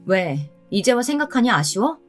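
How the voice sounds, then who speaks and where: Woman acting a betrayed young girlfriend, pitched up, taunting, sarcastic, audio story, faint music. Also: sing-song, normal speed. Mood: scornful, taunting. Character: scornful betrayed young woman confronting her boyfriend. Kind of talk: acted dialogue in audio story.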